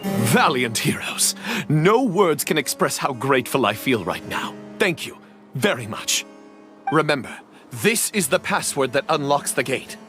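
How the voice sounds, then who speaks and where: An actor voicing a polite young knight trapped as a statue, formal and courteous, pleading for help. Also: male voice, a little high, big pitch swings, a little slow. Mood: grateful. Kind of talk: game voice acting. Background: music.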